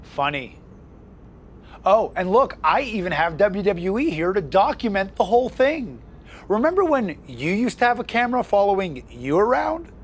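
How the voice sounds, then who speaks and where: A man's voice: high-pitched, very animated, moderate pace. A man playing a smug, spiteful young wrestling champion in a video game, condescending and boastful, with noisy ambience behind him. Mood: smug, mocking. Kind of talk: video game cutscene acting.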